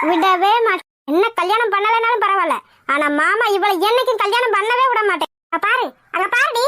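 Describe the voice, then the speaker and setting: A woman's voice: very high voice, noisy background. A woman voicing a bossy, jealous old grandmother who nags and scolds. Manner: nagging, scolding. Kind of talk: comedy skit.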